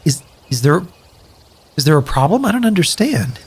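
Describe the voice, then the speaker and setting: A man's voice: fairly high pitch, big pitch swings, moderate pace, slightly rough, heavily acted. Man playing an earnest, wry wasteland survivor. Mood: defensive. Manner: earnest and hesitant, with wry profane humour. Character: sincere, grateful, joking male wasteland survivor, loyal friend. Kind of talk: audio drama dialogue, naturalistic.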